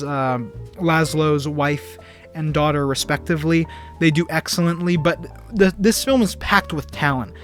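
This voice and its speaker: An earnest young man talks through a film review in a casual, rambling way. He stumbles over his words and restarts his sentences. His voice sits a little high for a man, and faint music plays underneath. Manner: earnest and enthusiastic.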